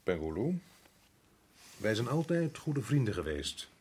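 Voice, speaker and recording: An actor playing a harried, indignant middle-aged colonial resident in a radio drama, exasperated and sarcastic. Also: male voice, on the low side, sing-song, unhurried, a little mellow, breathy edge, full character voice. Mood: formal, probing.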